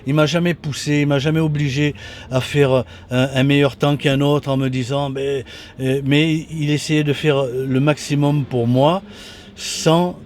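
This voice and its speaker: A man in his sixties with a mild Toulon accent, a former racer recalling his past in a halting, restart-filled way. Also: on the high side, fairly even intonation, darkish tone. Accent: mild Toulon southern French. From Toulon, southern France. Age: 60s. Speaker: former motorcycle racer, guest. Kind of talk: casual interview reminiscence. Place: at a racing circuit.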